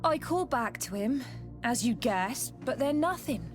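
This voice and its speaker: A woman's voice: on the high side, animated, moderate pace, lightly acted. An actress playing a plain-spoken, loyal female sailor in a fantasy game, frank and colloquial. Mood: confiding. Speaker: voice actor in a video game. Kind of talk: scripted fantasy game dialogue. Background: music.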